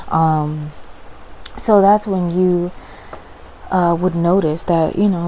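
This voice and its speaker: ASMR host, female: lowish register, dark and relaxed tone, soothing informal delivery, slight ambient noise behind. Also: rough recording. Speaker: ASMR host and spiritual practitioner. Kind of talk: ASMR, soft-spoken informal explaining. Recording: faint background noise and ambience.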